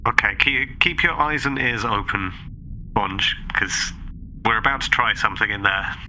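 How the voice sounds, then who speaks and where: A man's voice: fairly low pitch, normal speed, bright, forceful tone, a little hiss or hum, low-quality recording. A man improvising in character in a tabletop game, speaking politely, tentatively and a little wordily.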